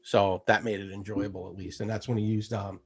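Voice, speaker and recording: A man from the United States talking casually as an interview guest, relaxed and rambling, with lots of fillers. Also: fairly low pitch, brisk, slightly rough. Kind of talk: casual conversation.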